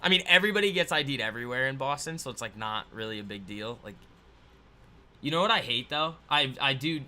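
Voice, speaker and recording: A man's voice: medium pitch, pushed and bright. A man in his twenties hosting a casual trading livestream, rambling and laughing as he reads viewer comments aloud. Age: 20s.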